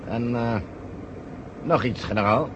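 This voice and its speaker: An actor playing a sly, smug conspirator who calmly gives orders in a vintage radio play. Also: male voice, mid-range voice, slow, noisy background, close to an everyday voice. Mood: sardonic, insistent. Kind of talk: 1970 radio play acting.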